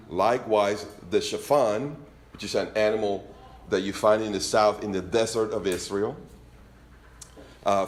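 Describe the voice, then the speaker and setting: A man's voice: on the low side, roomy sound. A man from Venezuela with a Spanish accent, teaching from scripture to a congregation in a conversational, joking way. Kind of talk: informal sermon teaching.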